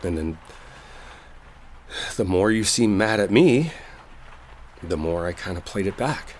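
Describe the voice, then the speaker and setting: A man acting an earnest, lovestruck coworker in an intimate roleplay audio, speaking in a halting, colloquial, confessional way. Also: deep voice, expressive, darkish tone, slight background noise. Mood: frustrated, apologetic. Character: earnest lovestruck male coworker clearing up a misunderstanding. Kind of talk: intimate dramatic roleplay audio, one-sided conversation. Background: rainstorm ambience.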